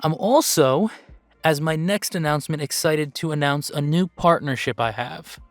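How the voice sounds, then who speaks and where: A man playing an upbeat, earnest video game streamer who chats casually and haltingly in a livestream roleplay. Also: a little high, dry recording, faint music behind, lightly acted. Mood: excited. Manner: halting, rambling, sighing, earnest.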